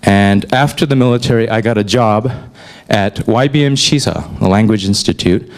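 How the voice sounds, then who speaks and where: A man in his forties, raised in North America, gives a relaxed, conversational lecture to students and often pauses on "uh". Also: lowish voice. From Korea, raised in North America. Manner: relaxed and conversational, with frequent "uh" fillers. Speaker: newspaper chief editor giving a talk. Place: lecture hall.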